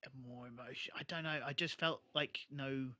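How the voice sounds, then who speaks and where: A man's voice: medium pitch, steady tone, moderate pace, bright, forceful tone. A man co-hosting a casual TV rewatch podcast, talking colloquially and voicing imagined lines as he goes. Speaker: podcast co-host.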